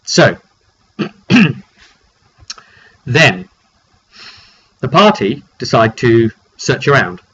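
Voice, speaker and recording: A man with a mid-pitched voice and a cold tells the story of his tabletop game as its game master. He speaks casually in short, halting phrases and clears his throat now and then. The recording is muffled and lo-fi. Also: very animated, fairly bright tone. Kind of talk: casual recap storytelling to camera. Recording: dull lo-fi makeshift mic, clearly noisy.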